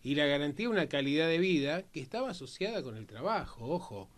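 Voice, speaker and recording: A man's voice: a little high, lively intonation, quick pace. An Argentine man discussing history as a panelist, explaining emphatically and checking his points with short tag questions. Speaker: panelist on a history and politics programme.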